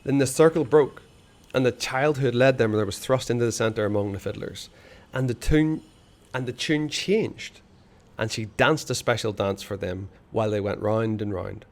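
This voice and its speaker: A man reading a children's novel aloud, expressive and hushed in the dark passages. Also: mid-range voice, normal speed. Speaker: storyteller.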